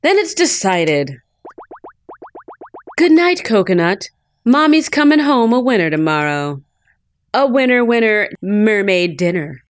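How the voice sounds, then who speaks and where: A woman's voice: medium pitch, very animated, measured pace, pauses often. A woman voicing a cute, dreamy young mermaid girl in a visual novel, chirpy and girlish. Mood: breezy, determined.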